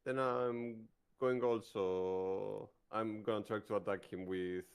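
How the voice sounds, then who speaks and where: A man talking casually and haltingly as a player in a tabletop roleplaying game. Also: fairly low pitch, steady tone, creaky, smooth. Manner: hesitant, halting.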